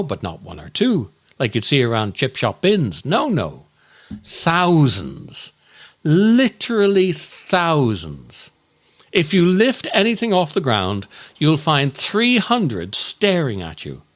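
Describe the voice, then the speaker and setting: A man delivering a scripted comic monologue as a podcast host, in a grumpy, exasperated, mock-appalled and deliberate way. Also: medium pitch, a bit bright.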